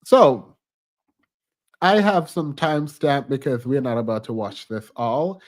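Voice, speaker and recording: A man's voice: medium pitch, animated, unhurried. A man hosting a commentary channel, talking casually and reflectively about politics while congested from a cold. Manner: chatty and reflective, frequent ums and restarts, occasional laughs.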